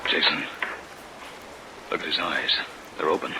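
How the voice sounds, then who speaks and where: An actor playing an uneasy young lab assistant in an old radio horror play, speaking gravely and apprehensively. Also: male voice, very deep voice, steady tone, measured pace, pushed and bright, heavily acted, poor audio. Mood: alarmed. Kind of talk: old-time radio narration and acting. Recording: vintage radio recording, heavy rain noise.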